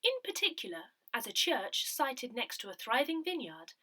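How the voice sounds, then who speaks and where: A woman reading written newsletter articles aloud in a measured, expressive way, as scripted narration. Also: fairly high pitch. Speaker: newsletter reader.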